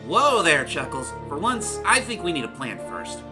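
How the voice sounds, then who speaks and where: A man playing a cocky, quick-witted young blue hedgehog hero in a comic dub, with a breezy, teasing manner. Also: fairly high pitch, very animated, over music. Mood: determined.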